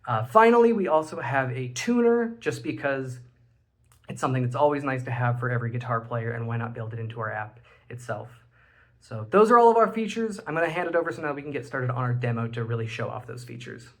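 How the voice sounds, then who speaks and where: A man's voice: mid-range voice, animated, fast, fairly bright tone. A man in his twenties with an American accent, giving a casual project presentation from a room at home. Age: 20s. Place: small home room indoors.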